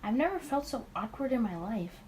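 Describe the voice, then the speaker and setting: A woman's voice: fairly high pitch, sing-song, a little fast, darkish tone, slight background noise. A woman voicing a tired, awkward male detective who narrates a visual novel in the first person. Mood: weary, awkward.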